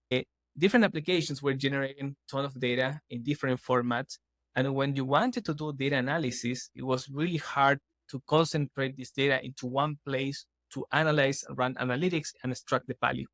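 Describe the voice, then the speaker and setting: A man's voice: medium pitch, a bit bright, dry recording, studio quality. Man. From Chile. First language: Spanish. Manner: earnest, explaining at length with restarts and fillers. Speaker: guest, startup CEO. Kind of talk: casual podcast interview.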